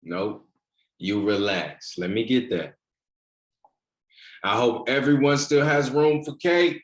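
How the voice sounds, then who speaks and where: A man's voice: mid-range voice, takes it slowly, lightly acted. Echoey, easygoing young man, played by an actor. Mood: easygoing, affectionate. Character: affectionate young grandson, attentive fiancé, easygoing. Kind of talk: virtual table read acting. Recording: echoey room on a remote call.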